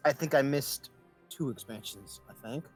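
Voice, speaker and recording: A man's voice: medium pitch, moderate pace, breathy edge. A man chatting casually as a player on a tabletop game livestream. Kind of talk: casual chat. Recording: music behind the voice. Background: background music.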